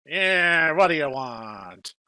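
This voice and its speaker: Man putting on a simple rustic villager, folksy, gullible, drawn-out words, lively pitch, dry close sound. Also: clean tone, no echo, heavily acted. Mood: suspicious. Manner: folksy country phrasing. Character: gruff, gullible rustic villagers with farm tools.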